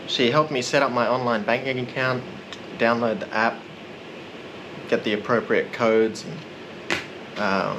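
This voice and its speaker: A man narrating a casual vlog in a relaxed, rambling, self-deprecating way. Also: medium pitch, muffled recording, noisy background. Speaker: vlogger.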